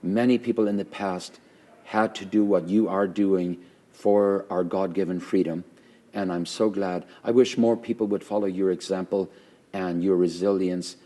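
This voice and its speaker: A middle-aged man, a pastor, talking with a guest in an earnest, fervent way, with a naturally loud voice, repeating phrases for emphasis. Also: deep voice, steady tone, moderate pace. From Canada. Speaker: pastor hosting a conversation. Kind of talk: earnest conversational interview.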